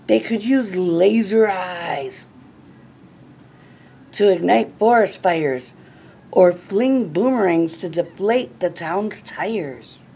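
A middle-aged woman reading a children's picture book aloud in an expressive, deliberate, phrase-by-phrase way. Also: medium pitch. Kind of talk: read-aloud storytelling. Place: small classroom, indoors. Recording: clearly noisy room recording.

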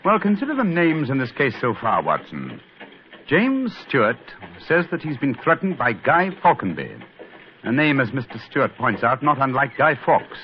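An actor playing a brisk, commanding male detective, in a 1940s radio drama. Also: mid-range voice, very animated, close to an everyday voice. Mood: explaining. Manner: crisp, clipped and authoritative. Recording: muffled old radio broadcast with faint hiss.

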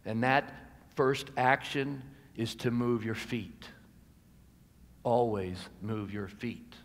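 An American man in his sixties gives a sermon as a pastor, measured and deliberate, pausing for emphasis and letting phrases fall softer. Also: medium pitch, steady tone. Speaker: pastor preaching. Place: church sanctuary at a pulpit. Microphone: gooseneck pulpit microphone. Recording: reverberant hall, amplified pulpit sound.

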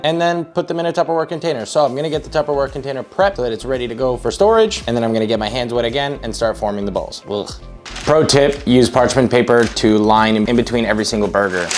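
A young man casually talking viewers through a meal prep recipe while he cooks, upbeat and joking. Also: mid-range voice. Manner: upbeat, jokey, talks to viewers while cooking. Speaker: fitness vlogger. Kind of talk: casual cooking vlog talk.

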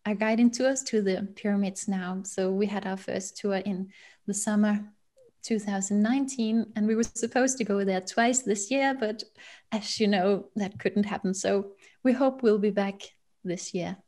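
A woman's voice: medium pitch, steady tone, unhurried, a little mellow. A woman in her thirties, an author and guide, talking casually about her experiences on a video call from a room at home. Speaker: author and tour guide, webinar guest.

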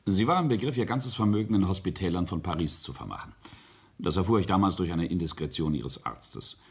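An actor playing an arrogant middle-aged family patriarch in a radio play, deliberate and self-justifying, with a husky, gravelly voice. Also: male voice, low voice, lively intonation, a little mellow, full character voice. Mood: bitter, self-justifying. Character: arrogant, coldly defiant family patriarch confessing a murder.

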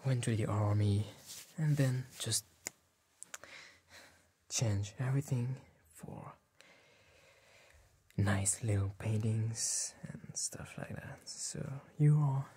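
Slow, whispering man. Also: medium pitch, breathy edge. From France. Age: 20s. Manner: gentle, unhurried, hesitant pauses. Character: polite, attentive bespoke tailor serving a client. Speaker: ASMR performer playing a tailor. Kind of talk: ASMR roleplay, whispered and soft-spoken. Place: small dim tailor's workshop set, indoors.

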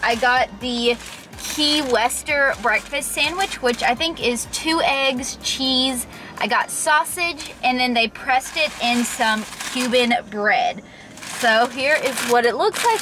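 A woman's voice: high voice, pushed and bright. A young woman chatting upbeat to a handheld camera in a car and outdoors, as a travel vlogger. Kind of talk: casual travel vlog. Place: parked car, then outdoors on a pier and bridge. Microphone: handheld camera at arm's length.

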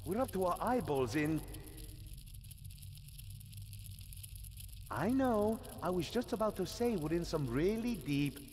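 High-pitched, whiny adventuring sidekick, cavernous reverb. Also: male voice, animated, moderate pace, darkish tone, slight background noise. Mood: exasperated. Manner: theatrical, hesitant, comic grumbling. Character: whiny, wisecracking, easily flustered male adventuring companion. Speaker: video game voice actor. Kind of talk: animated video game quest dialogue. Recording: long cavernous reverb, music behind.

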